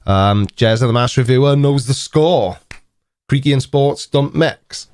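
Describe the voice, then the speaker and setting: A man's voice: mid-range voice, measured pace, darkish tone, clear, resonant tone, no echo. A man in his forties with a Welsh accent, hosting a casual live stream chat in a dry, teasing, mock-indignant manner. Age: 40s.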